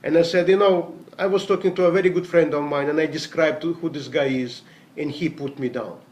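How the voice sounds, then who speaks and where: A man's voice: fairly high pitch, fairly even intonation, a little fast, darkish tone. Man giving a sales talk. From Latvia. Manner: earnest, rambling, self-correcting, non-native grammar. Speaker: network marketing speaker. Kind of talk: motivational talk with personal stories.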